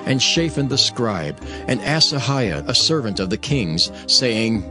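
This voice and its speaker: A man's voice: medium pitch, sing-song, a little slow. A man narrating dramatized scripture as a solemn storyteller. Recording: voice over prominent music. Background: music.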